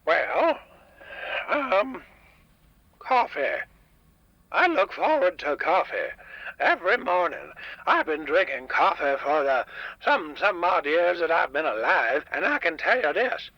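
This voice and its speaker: A man voicing a grumpy, stubborn old diner owner, raspy, crotchety and rambling, heard over a radio call-in line. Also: on the high side, sing-song, slow, pauses often, no echo, dull audio, full character voice. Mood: contented, proud. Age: older. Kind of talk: comedic radio drama guest. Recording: dry, band-limited radio call-in line.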